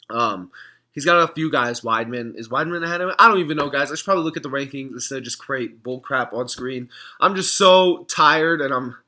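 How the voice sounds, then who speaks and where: A young man with a hoarse voice, talking casually to camera in a reaction vlog, rambling, clearing his throat often. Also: mid-range voice, moderate pace, bright, forceful tone. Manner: rambling, frequent throat clearing and filler words. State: hoarse from screaming. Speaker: MMA fan vlogger.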